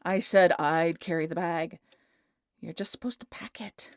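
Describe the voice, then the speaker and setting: A woman's voice: on the low side, measured pace, no room sound, clean studio sound, lightly acted. Woman acting a sardonic, teasing vampire woman. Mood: impatient. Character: teasing, sarcastic, foul-mouthed female vampire travelling with a hunter. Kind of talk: scripted roleplay audio drama.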